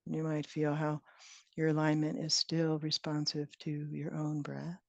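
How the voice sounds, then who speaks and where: A woman leading a guided meditation as a teacher, speaking in gentle, unhurried phrases with long pauses. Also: sits low, completely flat delivery, vocal fry, dry recording. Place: online retreat session, close dry sound. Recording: dull, band-limited online call audio.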